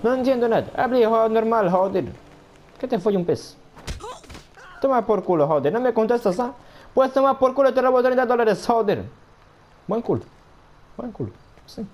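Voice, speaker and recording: A swaggering, foul-mouthed rich man, put on by a male gamer, barks in broken speech, cursing at nearly every phrase. His pitch leaps and dips with lively swings, and a faint hiss of noise sits under the voice. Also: moderate pace, long pauses, dull audio. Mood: rude. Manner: swears constantly, broken grammar, brash and rude. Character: crude boastful foreign rich man, foul-mouthed, arrogant. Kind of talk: gameplay commentary in character.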